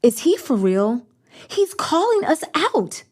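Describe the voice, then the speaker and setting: A woman's voice: high voice, sing-song, takes it slowly, darkish tone, full character voice. A woman playing a bold, decisive teenage superhero leader in an audio drama, earnest and commanding.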